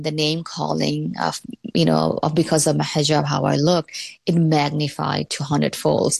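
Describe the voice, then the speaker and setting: A woman's voice: low-pitched, gravelly, no room sound. A woman from Bangladesh, not a native English speaker, telling her personal story conversationally, often punctuated with "you know". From Bangladesh, moved to New York at eleven. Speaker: interviewee.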